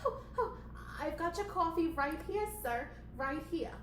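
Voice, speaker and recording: A woman voicing a timid, eager-to-please female assistant in a puppet show, soft and stammering. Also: extremely high pitch, expressive, measured pace, voice pushed up. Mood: flustered, apologetic. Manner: soft, stammering, flustered, deferential. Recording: roomy echo, background noise.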